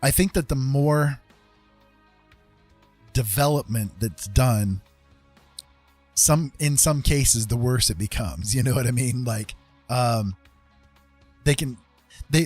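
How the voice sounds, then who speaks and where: A man with a fairly low voice talks casually as a stream host, with faint music behind him. He rambles in a hesitant way, stopping mid-thought and circling back over the same phrases. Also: darkish tone. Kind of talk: casual rambling chat with viewers.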